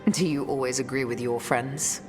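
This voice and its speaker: An actress playing a grave, weary noblewoman leader in a fantasy video game, speaking in a measured, solemn way. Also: rumbling low, fairly even intonation, darkish tone. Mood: grave, reflective. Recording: long reverb, music behind.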